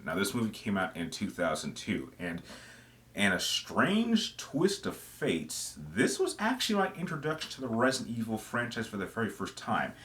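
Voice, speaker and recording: Low-pitched man hosting a video countdown. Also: fairly bright tone. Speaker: host of a gaming list video channel. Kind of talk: casual semi-scripted video commentary.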